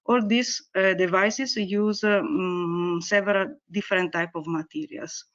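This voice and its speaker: A woman researcher who is not a native English speaker, presenting a technical webinar lecture with slides in a halting, hesitant way. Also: medium pitch, steady tone, fairly bright tone. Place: online webinar. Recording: dull, muffled webinar audio.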